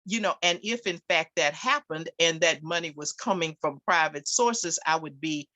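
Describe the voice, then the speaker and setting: A woman panelist in a debate, speaking deliberately and emphatically, repeating her phrases. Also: sits low, pushed and bright, dry recording.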